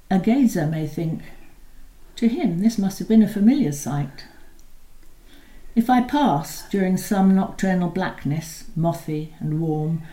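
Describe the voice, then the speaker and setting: Brief: woman reader, warm low tone, unhurried and expressive, recorded in an echoey room. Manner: measured, expressive reading, slowing on key lines. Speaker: poetry reader. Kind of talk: poetry recital with spoken introductions. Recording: roomy echo.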